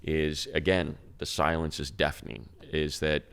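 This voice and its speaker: American guy w super low creaky voice breaking down football on a podcast, casual. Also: level tone, measured pace, a bit bright. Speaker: sports podcast co-host.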